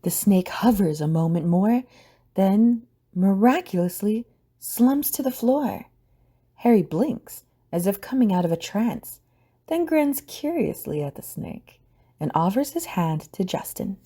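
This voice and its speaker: A woman's voice: mid-range voice, animated, a little slow, mellow, husky. A woman narrating a scripted audio drama as storyteller.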